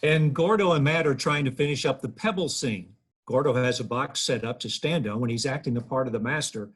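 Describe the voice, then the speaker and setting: A man reading the stage directions aloud at a table read in a plain, steady way. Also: mid-range voice, brisk, breathy edge.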